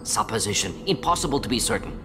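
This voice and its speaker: An actor playing an older alien scientist in a video game, talking in clipped, telegraphic fragments. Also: male voice, fairly low pitch, expressive, fast talker, lightly acted. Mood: dismissive. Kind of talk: dramatic video game acting. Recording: music behind the voice.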